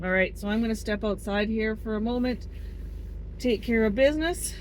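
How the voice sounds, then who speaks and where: A woman's voice: a little high, normal speed, low-quality recording. Woman musing aloud over vehicle noise. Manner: musing aloud, self-deprecating. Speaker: vlogger driving a forest road. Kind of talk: casual solo vlog chat. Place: inside a moving van. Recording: steady car engine and road noise.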